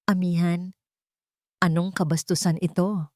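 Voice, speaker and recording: Woman as controlling wealthy matriarch, imperious, defensive, raised pitch, storybook drama. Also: level tone, measured pace, darkish tone, no room sound, studio quality, lightly acted. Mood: imperious, startled. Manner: imperious, protesting. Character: proud, controlling rich mother, defensive and cornered.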